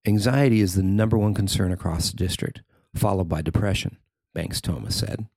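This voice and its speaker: Middle-aged man, low pitch, frequent creak, scripted feature narration, dry close recording. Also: a little fast, darkish tone, no echo. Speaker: writer and narrator.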